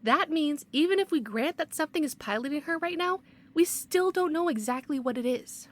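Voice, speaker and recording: An actress playing a strict, skeptical supervisor in an audio drama, firm and officious. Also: female voice, high voice, expressive, a little fast.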